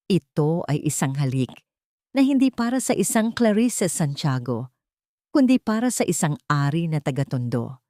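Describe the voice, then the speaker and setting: A woman's voice: sits low, mellow, no room sound, clean studio sound. A woman narrator reading a scripted romantic audio drama in a dramatic storytelling style.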